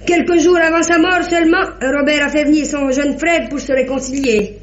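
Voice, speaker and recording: A woman's voice: high voice, fairly even intonation, normal speed, lightly acted. An actress playing a chatty, hospitable countrywoman with rustic, folksy speech in an old-fashioned French radio drama. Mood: gossipy, sorrowful. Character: chatty, hospitable peasant woman of the Normandy countryside. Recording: dull, roomy old radio recording.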